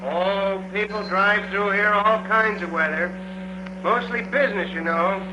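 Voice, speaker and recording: An actor playing a folksy, talkative gas station attendant in a 1940s radio drama. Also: male voice, sits high, steady tone, measured pace. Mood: chatty, amused. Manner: folksy, chatty, colloquial. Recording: dull, hissy 1940s radio recording.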